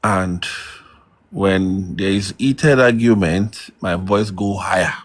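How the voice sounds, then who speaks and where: Slow, husky man giving advice. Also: sits low. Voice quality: loud voice. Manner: slow, measured advice with pauses. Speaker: guest elder.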